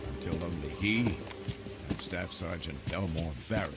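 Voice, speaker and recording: An actor playing a grave, world-weary military man, narrating a video game story in somber, deliberate phrases. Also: sits low, vocal fry, loud music behind the voice.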